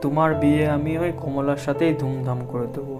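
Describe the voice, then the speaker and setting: A man's voice: medium pitch, steady tone, brisk, loud music behind the voice, natural voice. A man playing a hot-tempered, commanding village landlord and father in a cartoon story. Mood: remorseful.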